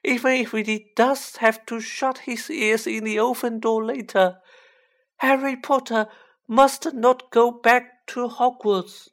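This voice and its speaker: A woman voicing a squeaky, high-pitched little house-elf. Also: steady tone. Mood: urgent pleading. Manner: pleading, drawn-out, emphatic delivery in third person. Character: small male house-elf, humble, reverent, anxiously devoted servant. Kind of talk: children's fantasy audiobook character voice.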